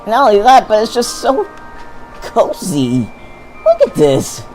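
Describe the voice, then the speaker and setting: A man's voice: sits high, wildly swooping pitch, takes it slowly, darkish tone, faint music behind, rough recording. A man chatting enthusiastically with a friend while playing a game, laughing a lot. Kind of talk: casual let's-play chat.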